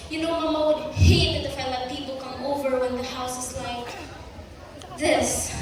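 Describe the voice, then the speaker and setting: A teenage girl acting an outspoken, argumentative young daughter in a school play. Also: high-pitched. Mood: chatty. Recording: echoey hall from far off, noisy.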